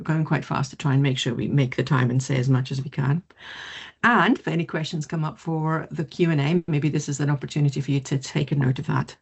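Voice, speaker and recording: A middle-aged woman from South Africa, whose first language is not English, giving an online mindfulness presentation in a lively, quick way. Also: sits low, creaky, breathy edge. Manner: lively, quick, laughs easily. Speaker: mindfulness teacher.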